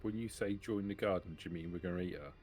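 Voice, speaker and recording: A man's voice: low voice, fast, darkish tone. A man chatting casually as a player in a tabletop roleplaying game, with a dry joking manner.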